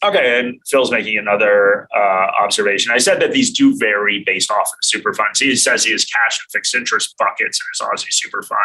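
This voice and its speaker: American man, bright husky voice, filler-heavy. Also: on the low side, brisk, few pauses, recorded from a distance, poor audio. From United States. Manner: relaxed, thinking aloud with many fillers. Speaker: host answering viewer questions. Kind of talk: casual live-stream finance talk.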